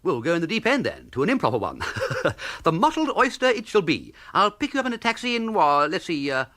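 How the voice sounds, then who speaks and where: An actor playing a good-natured, dim young upper-class English gentleman in a comic radio drama, chatty and hesitant in a posh drawl. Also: high-pitched, very animated, brisk. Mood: breezy.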